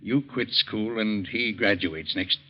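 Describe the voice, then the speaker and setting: A man's voice: fairly low pitch, slow pace. An actor playing a proud, scolding middle-aged surgeon father in a 1940s American radio drama, imperious and clipped. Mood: bitterly disappointed. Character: proud, stern, domineering wealthy surgeon father. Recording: dull old radio recording.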